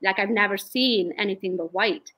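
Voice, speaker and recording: A woman in her late thirties from Romania with a Romanian accent, a guest on a casual video-call interview, telling her story in a lively way and laughing often. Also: medium pitch, moderate pace. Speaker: guest realtor. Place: home room, indoors. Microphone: laptop webcam on video call.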